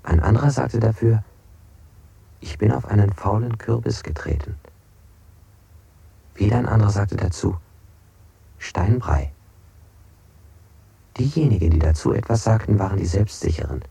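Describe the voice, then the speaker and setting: An actor playing a brooding interrogated man who recounts his ordeal in a literary radio play, with measured, deliberate recitation and a husky, gravelly voice. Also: lowish voice, dark tone, no echo, exaggerated voice. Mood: detached, deliberate.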